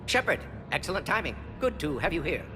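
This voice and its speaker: An actor playing a brisk, brilliant aging alien scientist in a video game, speaking in clipped, telegraphic phrases that drop small words. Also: male voice, on the high side, very animated. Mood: brisk, pleased. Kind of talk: video game cutscene acting. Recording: long hall reverb, music behind.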